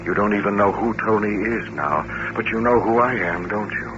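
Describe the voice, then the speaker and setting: An actor in a 1950s radio drama playing a lonely, quietly insistent male mutant hitchhiker who controls minds. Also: lowish voice, moderate pace, highs cut off, close to an everyday voice. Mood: coaxing, controlling. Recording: noisy, band-limited vintage radio recording.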